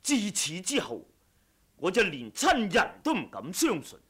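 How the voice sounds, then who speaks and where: An actor playing a cold, haughty martial-arts assassin in a radio drama, measured and sardonic in delivery. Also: male voice, sits high, wildly swooping pitch, a bit bright, husky, full character voice. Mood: cold, ruthless. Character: ruthless male wuxia assassin, cold and haughty. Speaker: audiobook voice actor.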